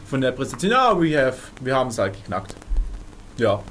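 A man's voice: medium pitch, sing-song, normal speed, a bit bright. A man co-hosting a podcast, explaining things in casual, conversational talk. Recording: faint background noise.

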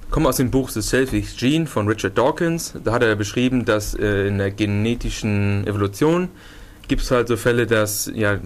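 A man co-hosting a podcast in casual, joking chat, often with a chuckle in his voice. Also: on the low side. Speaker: podcast co-host. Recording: some background noise.

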